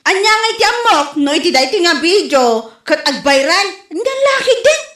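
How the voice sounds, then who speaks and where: A woman's voice: very high-pitched, sing-song, normal speed, fairly bright tone, reverberant, full character voice. A woman with a Filipino Ilocano accent acting a feisty village wife in a comedy drama. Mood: indignant.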